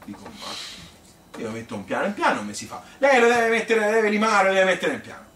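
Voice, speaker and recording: A man's voice: high voice, big pitch swings, brisk, fairly bright tone. An actor playing a sociable, wry Tuscan man telling rambling, colloquial anecdotes about his own life in a theatrical monologue. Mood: animated, exasperated. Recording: roomy, distant mic with faint noise.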